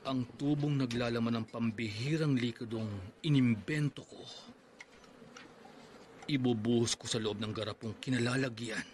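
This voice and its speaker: A man's voice: medium pitch, normal speed, pauses often, a little mellow, natural voice. Guy doing a revenge-obsessed bug scientist dad, broody and sinister, noisy tape. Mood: scheming. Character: vengeful grieving father, scheming entomologist. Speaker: entomologist. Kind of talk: radio drama monologue.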